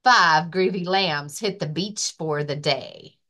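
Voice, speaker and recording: A woman librarian reading a children's rhyming picture book aloud with expressive, rhythmic delivery. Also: deep voice, takes it slowly. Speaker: librarian and story-time reader.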